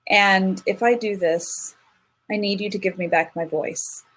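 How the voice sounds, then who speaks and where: A woman's voice: mid-range voice, steady tone, unhurried, fairly bright tone, rough recording. A woman speaking as a guest in an interview, telling a personal story in an earnest, reflective way with frequent restarts. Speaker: guest, singer.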